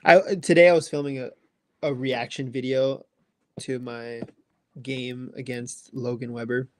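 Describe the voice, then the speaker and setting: A man's voice: medium pitch, fairly even intonation, measured pace, a little mellow, no room sound. A man in his twenties chatting casually on a livestream, rambling loosely with lots of fillers. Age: 20s. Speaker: livestream host answering chat. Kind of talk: casual chat.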